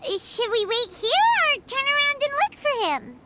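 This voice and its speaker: A woman voicing a small, childlike, cheerful and curious fairy companion in a video game, squeaky and naive. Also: lively intonation, moderate pace. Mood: puzzled, worried. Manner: speaks of self in third person, exclaiming. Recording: very noisy game screen capture.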